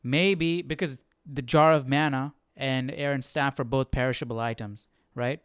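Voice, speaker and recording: Man, casual live study host, thinking aloud, conversational, clear voice, dry recording. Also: mid-range voice, fairly even intonation, normal speed, no room sound. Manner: musing, questioning, conversational.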